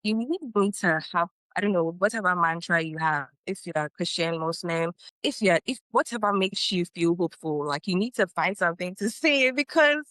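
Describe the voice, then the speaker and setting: A woman from Ghana, an animated, chatty webinar panelist full of fillers. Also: on the low side, normal speed, hardly any pauses, dry recording. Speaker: webinar panelist, exam taker. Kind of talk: casual panel discussion.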